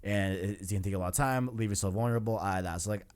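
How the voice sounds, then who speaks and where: A man's voice: on the low side, rapid-fire, darkish tone, clear, resonant tone. A man hosting a casual video game discussion, enthusiastic and slangy, often repeating himself for emphasis. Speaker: podcast host. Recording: music playing behind the voice.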